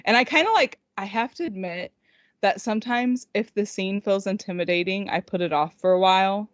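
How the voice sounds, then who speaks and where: A woman's voice: medium pitch, fairly quick, no room sound. A woman podcast co-host chatting casually and unscripted, rambling informally with lots of "like", on slightly lo-fi audio. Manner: informal, rambling, heavy use of "like". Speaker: podcast co-host and indie author.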